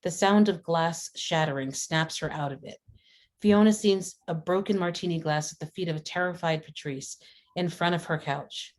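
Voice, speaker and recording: A woman's voice: fairly low pitch, normal speed. A woman reading scripted screenplay stage directions as the narrator of a dramatic table read.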